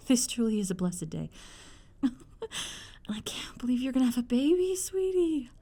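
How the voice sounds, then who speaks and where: An actress playing a doting, excitable middle-aged mother who is quick to scold, in an audio roleplay. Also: sits high, full character voice. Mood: overjoyed, tender.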